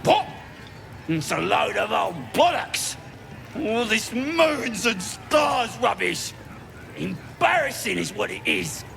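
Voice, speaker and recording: A man playing a dim, chatty goblin looter in a video game, with a croaky voice and an English working-class accent. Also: high voice, big pitch swings, slow pace, fairly bright tone, shouting, slight background noise, full character voice. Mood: bashful, blustering. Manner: chatty, uneducated. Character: dim, cheeky goblin looter with a secret soft side. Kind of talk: video game character acting.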